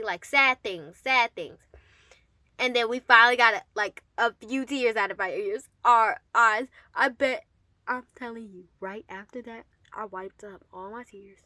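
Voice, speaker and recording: A woman telling a personal story casually to her audience, with an animated, emphatic and sassy manner. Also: fairly high pitch, no echo. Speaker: storyteller and host addressing an audience.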